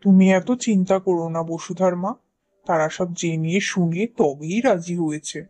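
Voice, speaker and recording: A woman's voice: on the low side, steady tone, normal speed, dark tone, no echo, natural voice. A woman voicing an assured, reassuring village elder in a cartoon drama. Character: approving, reassuring village elder arranging a wedding. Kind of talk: Bengali cartoon drama dubbing.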